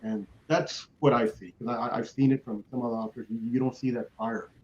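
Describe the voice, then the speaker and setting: A man's voice: mid-range voice, fairly even intonation, fairly quick. A man speaking as a panelist in a motivational way, emphatic and repeating himself for weight. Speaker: children's author, panelist. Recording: dull, lo-fi call audio.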